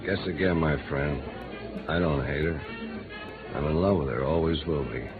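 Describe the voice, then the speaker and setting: An actor playing a disillusioned, hard-drinking American newspaper reporter in 1950s radio noir, sardonic, bitter and world-weary. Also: male voice, very deep voice, moderate pace, phone-quality sound. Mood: rueful. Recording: band-limited old radio recording with music.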